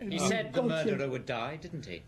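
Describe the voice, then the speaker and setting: A man's voice: on the high side, big pitch swings, fairly quick, a crowd in the background. An actor playing a defiant, self-righteous young gunman in a radio drama, clipped and agitated in speech.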